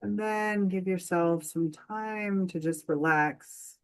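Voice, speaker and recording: Very slow, gentle woman guiding meditation. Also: lowish voice. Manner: gentle, soothing, unhurried with long pauses. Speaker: meditation guide. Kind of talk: guided meditation.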